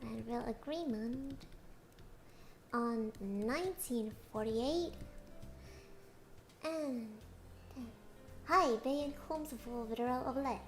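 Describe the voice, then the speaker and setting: A woman with a hoarse, worn voice, teaching history in a chatty stream, laughing often and checking in with "okay?". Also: on the high side, slow pace, long pauses, soft background music. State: hoarse, nearly lost voice. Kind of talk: casual history talk on a livestream.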